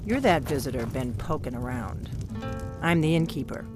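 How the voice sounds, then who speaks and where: An actor playing a gruff male village innkeeper in a video game, blunt and suspicious of strangers. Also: medium pitch, expressive, normal speed, natural voice. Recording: game audio over loud music.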